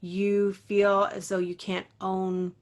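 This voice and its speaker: A woman's voice: medium pitch, completely flat delivery, takes it slowly. A woman voice teacher and coach, an interview guest who talks earnestly and encouragingly, with lots of casual fillers. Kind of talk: interview talk.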